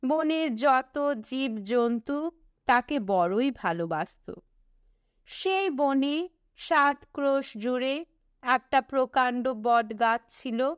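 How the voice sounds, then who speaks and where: Unhurried, clear-voiced woman reading a story. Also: high-pitched, fairly bright tone, no echo, clean studio sound. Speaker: audiobook reader. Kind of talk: scripted storybook narration.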